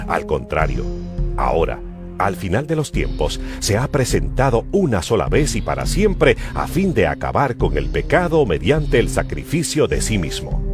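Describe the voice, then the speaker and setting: A man giving a solemn, emphatic dramatized narration of scripture as a Bible narrator. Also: low voice. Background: music.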